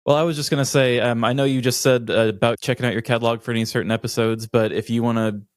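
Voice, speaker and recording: A man's voice: medium pitch, fairly even intonation, quick pace, dry recording, studio quality. A man co-hosting a podcast, chatting casually in a self-deprecating, chatty way. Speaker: podcast co-host.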